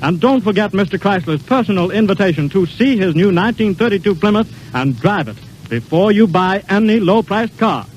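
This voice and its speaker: Male American announcer, high voice, formal and emphatic vintage radio pitchman, noisy old recording. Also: animated, a little fast, hardly any pauses, dull audio. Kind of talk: 1930s radio advertising announcement.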